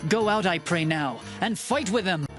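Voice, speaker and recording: An actor playing a scornful biblical city official in a dramatized scripture reading, taunting and declamatory. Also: male voice, high voice, expressive, moderate pace, fairly bright tone, soft background music. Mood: mocking, challenging.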